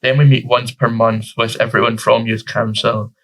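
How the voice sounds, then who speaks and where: A teenage Scottish boy talking about his volunteer role in a relaxed, informal way. His pitch sits in the middle, and he keeps his intonation flat and level. He leans on fillers as he goes. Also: moderate pace, rough recording. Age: teens. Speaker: youth councillor guest. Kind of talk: casual interview answers.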